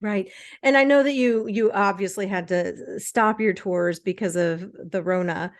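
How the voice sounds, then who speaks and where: A woman's voice: mid-range voice, a little slow. A woman hosting a podcast, asking casual interview questions and reacting with exclamations. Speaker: podcast host.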